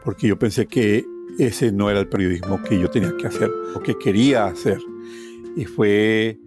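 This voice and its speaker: A man's voice: fairly low pitch, moderate pace, over music. A man from Colombia with a Colombian accent, telling his own life story as a guest. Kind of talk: personal storytelling.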